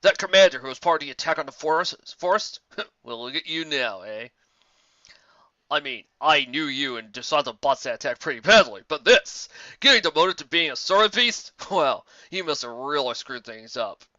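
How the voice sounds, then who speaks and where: Someone doing a cocky monster army guy, loud mocking bully, really lively, slightly dull recording. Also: mid-range voice, moderate pace, no room sound. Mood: mocking, smug. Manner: jeering, chuckling, loud.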